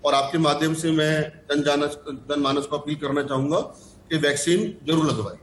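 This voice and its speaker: A man speaking as a doctor guest, explaining slowly and deliberately. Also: fairly high pitch, steady tone, a little hiss or hum. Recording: echoey room.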